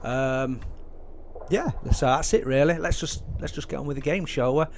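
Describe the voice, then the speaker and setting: A man's voice: medium pitch, normal speed, a little hiss or hum. A man hosting a gaming video, chatting casually in a polite, rambling, hesitant way and often repeating himself. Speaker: gaming YouTuber, host. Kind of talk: casual video intro commentary.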